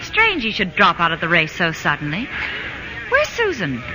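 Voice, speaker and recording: A woman's voice: on the low side, wildly swooping pitch, normal speed, noisy background. An actress playing a proper, dignified woman with a precise, formal manner in a 1940s radio comedy. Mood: concerned. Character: proper, dignified woman, earnest and coolly sharp. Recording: old radio broadcast recording.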